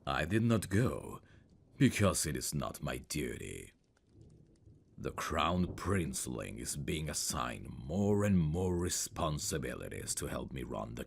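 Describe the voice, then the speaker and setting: A man playing a stern, haughty eldest prince in a fantasy roleplay audio, speaking formally and condescendingly in a gravelly, breathy voice. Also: rumbling low, slight background noise, exaggerated voice. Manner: formal, measured, condescending, commanding.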